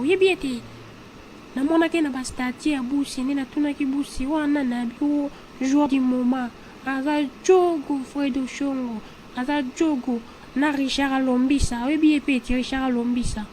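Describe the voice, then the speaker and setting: A woman's voice: sits high, darkish tone, slight background noise, close to an everyday voice, rough recording. A woman with a Congolese accent playing a gossipy cartoon character. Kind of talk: animated cartoon dialogue in Lingala and French.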